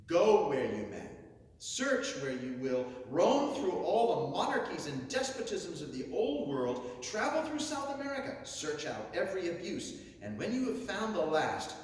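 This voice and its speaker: A man delivers a theatrical nineteenth-century oration in an emphatic, declamatory manner, pausing for effect. His delivery swings widely in pitch. He is heard at a distance from the mic in a hugely reverberant hall. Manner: declamatory, emphatic, rhetorical, with dramatic pauses. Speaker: orator reciting a historic speech.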